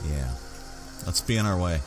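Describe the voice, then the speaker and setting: A man role-playing his character while playing a fantasy video game, musing and halting as he talks. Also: sits low, lively intonation. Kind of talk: slow in-character game roleplay commentary. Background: game music and ambience.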